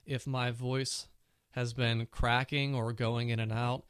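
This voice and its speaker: Chatty man with a cold, smooth voice. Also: medium pitch, level tone, slow pace, a bit bright, dry recording, studio quality. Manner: chatty, many "you know" fillers. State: recovering from a cold, sore throat. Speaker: podcast host. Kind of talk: casual solo tech podcast.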